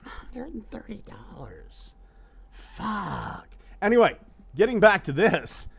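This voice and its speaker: A middle-aged man hosting a podcast, grumbling about prices with profane, animated storytelling. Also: high-pitched, slow, creaky, breathy edge. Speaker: podcast host. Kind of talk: casual podcast chat.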